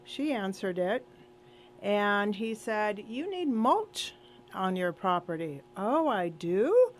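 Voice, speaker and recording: A woman's voice: mid-range voice, big pitch swings, takes it slowly, slight background noise. A woman guest on a radio show chatting casually and telling anecdotes, acting out the dialogue she quotes. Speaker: radio show guest, senior seminar organizer.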